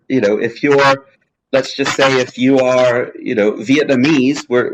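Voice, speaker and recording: A man's voice: medium pitch, a little hiss or hum, rough recording. A man speaking as a guest in a webinar, answering questions in a chatty, jokey way with many restarts. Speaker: guest speaker on language testing.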